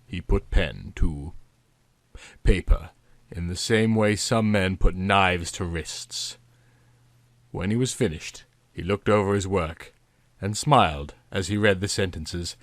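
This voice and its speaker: A man's voice: sits low, slow pace, no echo. A man narrating a story in a theatrical, comic reading style, with dramatic, emphatic phrasing.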